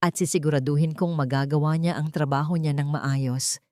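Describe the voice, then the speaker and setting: A woman's voice: deep voice, steady tone, moderate pace, dark tone, no room sound, studio quality, natural voice. A woman voicing a cold, controlling male billionaire in a curt, commanding manner for an audio romance story. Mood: firm, dismissive.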